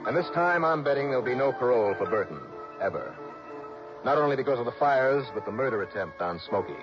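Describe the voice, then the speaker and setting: Hard-boiled radio detective, male actor: wry, steady, mid-range, vintage dull sound with music underneath. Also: mid-range voice, fast, natural voice. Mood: wry, businesslike. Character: tough, wry, steady male insurance investigator. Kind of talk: 1960s radio drama acting and narration. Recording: muffled old radio recording.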